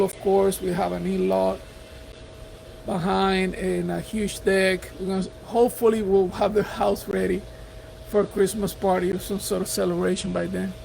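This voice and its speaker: Gravelly high-voiced Puerto Rican man. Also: a little mellow, slight background noise, poor audio. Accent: Puerto Rican Spanish. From Puerto Rico. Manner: earnest, encouraging. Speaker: real estate investor. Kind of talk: motivational talk to camera. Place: house.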